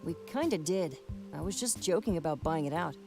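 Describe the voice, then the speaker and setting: A calm, reassuring visual novel character put on by a man with a dry sense of humour. The voice sits fairly high for a man, and music plays clearly behind it. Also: fairly quick. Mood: dryly joking.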